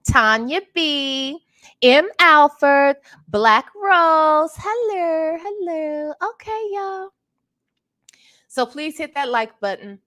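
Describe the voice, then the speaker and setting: A woman hosting a casual livestream, chatting with her viewers while sounding congested and clearing her throat now and then. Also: sits high, lively intonation, slow pace, sharp tone. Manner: chatty, greets viewers by name. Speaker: livestream host.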